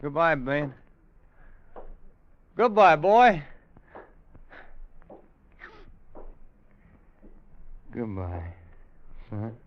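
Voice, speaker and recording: An actor playing a loyal, fatherly old frontiersman with a rustic American drawl and halting folksy speech in an old-time radio Western. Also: on the high side, huge pitch swings, heavily acted. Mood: sad farewell. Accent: American Southern or Western drawl. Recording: dull vintage radio recording with music.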